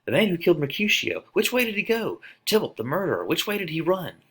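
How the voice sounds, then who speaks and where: A man's voice: sits high, big pitch swings, fast, a bit bright, breathy edge, voice pushed up, heavily acted. A man voicing an officious watchman, urgent and commanding, in a dramatic play reading.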